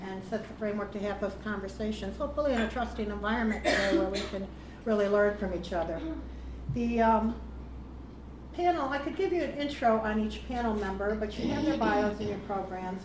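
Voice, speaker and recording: A woman chairs a live panel, introducing each speaker in a loose, informal way, her voice pitched a little high. The hall is echoey, with noise in the background. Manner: informal, with fillers. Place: event hall with live audience.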